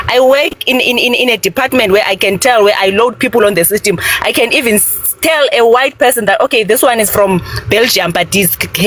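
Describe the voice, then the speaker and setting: A woman's voice: on the high side, fairly bright tone. Blunt Xhosa-accented woman arguing. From South Africa. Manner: blunt, insulting, repeats words when riled. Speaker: panelist on a live stream. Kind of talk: heated live-stream argument.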